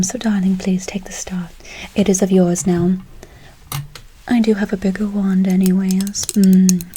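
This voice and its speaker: A woman's voice: mid-range voice, mellow, slight background noise, natural voice. Whispering, doting witch played by a woman. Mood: soothing, enchanting. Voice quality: breathy whisper. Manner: slow, soothing, repeats words, calls listener darling. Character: gentle doting forest witch casting a spell. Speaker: ASMR performer. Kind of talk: whispered ASMR role play.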